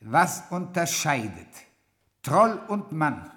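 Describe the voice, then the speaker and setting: A man playing a shrewd, commanding old troll king in a classic radio play, speaking in a measured, lordly way. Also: fairly high pitch, sing-song, pushed and bright, exaggerated voice. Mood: lecturing.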